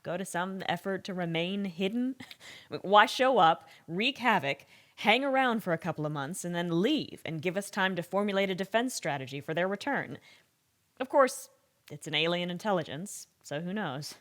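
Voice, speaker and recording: An actress playing a thoughtful, troubled expert in an audio drama interview, speaking in a measured, reflective way. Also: female voice, fairly low pitch, animated, natural voice. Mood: wry, pondering.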